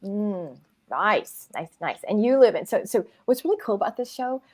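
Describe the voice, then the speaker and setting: A woman's voice: medium pitch, big pitch swings, moderate pace, darkish tone. Chatty American woman hosting a panel. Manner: effusive, chatty, many restarts. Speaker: host. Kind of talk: casual panel talk.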